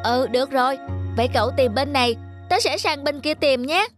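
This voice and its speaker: A woman voicing a young child in a gentle moral cartoon, speaking simply and earnestly. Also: high-pitched, fairly quick, soft background music, lightly acted. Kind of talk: Vietnamese children's cartoon dubbing.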